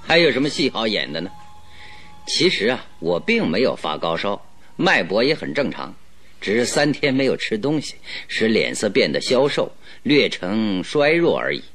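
A sly playful detective in a radio play, lowish and very animated, theatrical. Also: male voice, moderate pace. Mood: explaining, triumphant. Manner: leisurely, theatrical, chuckling. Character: shrewd, playful, self-assured male master detective. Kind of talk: Mandarin radio drama acting. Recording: faint music behind the voice.

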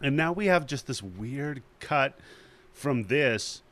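Lively, joking male podcast host. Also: mid-range voice, slow. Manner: crude, joking recap. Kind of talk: casual podcast chat.

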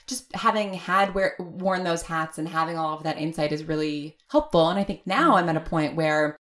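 A woman's voice: deep voice, moderate pace, fairly bright tone. A woman speaking as an interview guest, candid and opinionated, in a casual chatty style with lots of "like". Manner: candid, opinionated, frequent filler "like". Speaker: audio fiction writer and producer, interview guest.

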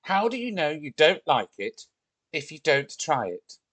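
A man's voice: medium pitch, very animated, unhurried, slightly rough, no echo. A man voicing an outspoken, bossy young girl in a children's story, speaking emphatically. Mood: angry scolding. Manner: scolding, emphatic.

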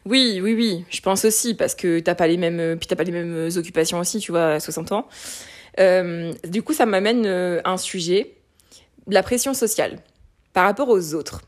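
A woman in her late twenties talking candidly in a casual conversation, lively, with many fillers and restarts. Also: on the low side, moderate pace. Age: late 20s.

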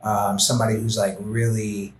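A man speaking as a podcast guest, earnest and gentle, talking in a reflective way with many fillers like "you know" and "like". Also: lowish voice, flat delivery, moderate pace, reverberant, a little hiss or hum. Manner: gentle, heartfelt. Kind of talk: reflective interview conversation.

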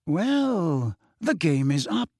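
A man voicing a calm, wise old headmaster in an audiobook, courteous and measured with gentle irony. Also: fairly high pitch, breathy edge, dry recording, studio quality, heavily acted. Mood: pleasant, amused. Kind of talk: audiobook character voice.

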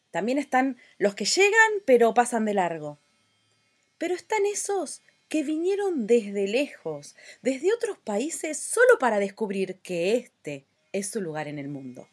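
A woman with an Argentine accent speaks as a podcast host and interviewer. Her voice sits a little high and swings widely in pitch, whether she is reading an intro or putting questions to a guest. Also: breathy edge. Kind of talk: scripted intro and conversational interviewing.

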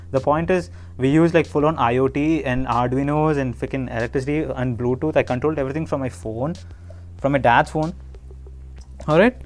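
A young man chatting casually with a friend, rambling through a story with lots of swearing and laughter. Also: mid-range voice.

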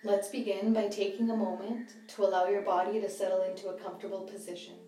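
Female meditation guide, soft-edged mellow tone, calm measured phrasing, recorded slightly away from the mic with music behind. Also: mid-range voice. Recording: slightly distant roomy sound, music behind.

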